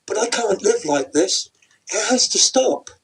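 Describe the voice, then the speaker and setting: A man's voice: sits high, expressive, measured pace, husky, voice pushed up, full character voice. A man voicing a distraught, tearful wife in a spoken story, pleading in a raised, strained voice.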